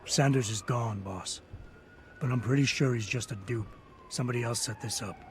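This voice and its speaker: An actor playing a cool, hard-edged security agent in a video game, terse, dry and controlled. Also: male voice, medium pitch, normal speed, background noise, natural voice. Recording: echoey game audio under loud music.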